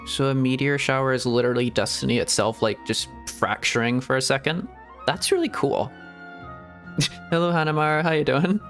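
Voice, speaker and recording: A man chats casually over a game stream, rambling and giggling as he restarts his sentences. His voice sits at a middling pitch. Soft game music plays behind him. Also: normal speed. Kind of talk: casual gameplay commentary.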